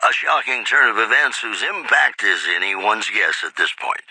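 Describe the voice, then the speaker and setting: A suave, flirtatious man hosting a retro radio show in a game. His low voice swoops expressively through song intros and bulletins, with intimate, theatrical pauses. It is recorded very close and dry. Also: lively intonation, bright, forceful tone, no room sound, full character voice, low-quality recording. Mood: suave newsreading. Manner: smooth-talking, intimate, theatrical pauses and emphasis. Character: suave romantic male lounge-style radio host, flirty and sincere. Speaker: radio DJ and newsreader.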